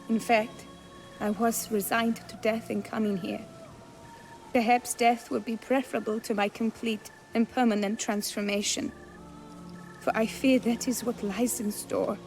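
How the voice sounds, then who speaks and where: An actress playing an earnest, resigned young noblewoman under a curse in a video game, speaking formally in measured, old-fashioned phrases. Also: mid-range voice, vocal fry, a little mellow, poor audio. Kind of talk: video game cutscene acting.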